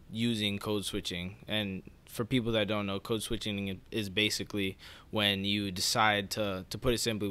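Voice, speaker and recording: A man's voice: on the low side, bright, forceful tone, smooth. A young man hosting a casual podcast discussion, speaking in a conversational way. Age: young adult. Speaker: podcast host. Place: small living room, indoors. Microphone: podcast microphone.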